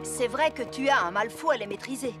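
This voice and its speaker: A practical, loyal cartoon pony , voiced by a woman, talks frankly in a high voice. Her manner is folksy and plain, over faint music. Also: brisk, a bit bright, lightly acted. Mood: frank, worried. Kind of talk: French cartoon dub acting.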